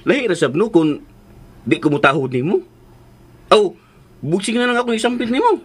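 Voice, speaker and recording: An actor playing a loyal, humble and good-natured household helper and uncle figure in a radio drama. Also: male voice, high voice, sing-song, moderate pace, breathy edge, full character voice.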